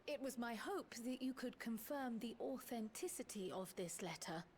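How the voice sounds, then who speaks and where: A woman playing a polite, earnest young scholarly noblewoman in a video game, with a formal, measured manner. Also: a little high, animated, darkish tone, a little hiss or hum, natural voice. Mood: polite, curious. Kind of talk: video game cutscene voice acting.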